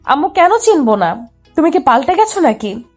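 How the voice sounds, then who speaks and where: A woman's voice: high voice, sing-song, normal speed. A woman playing a headstrong, lovesick young woman who pleads insistently and pouts in a dramatised love story. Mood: cheerful, defensive. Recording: music behind the voice.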